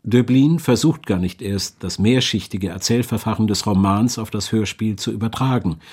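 A man's voice: lowish voice, normal speed, darkish tone, breathy edge. A man reading explanatory documentary narration from a script in a sober manner.

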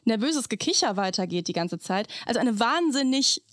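A woman's voice: a little high, expressive, moderate pace, fairly bright tone, no room sound, studio quality. A woman hosting a podcast, recounting a TV scene in an emphatic, incredulous, casual way. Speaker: podcast host.

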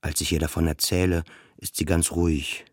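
A very deep-voiced depressed man. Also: little pitch movement, normal speed, breathy edge, no room sound, clean studio sound. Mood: brooding. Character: depressed, self-doubting husband narrating his psychiatric stay. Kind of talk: introspective first-person radio play narration.

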